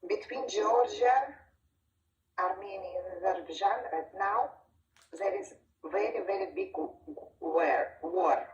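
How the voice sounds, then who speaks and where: Woman, halting English. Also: mid-range voice, sing-song, echoey room, far from the mic, lo-fi sound. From grew up in the Caucasus. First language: Russian. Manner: halting non-native English, apologetic. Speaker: audience speaker. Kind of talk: emotional personal plea.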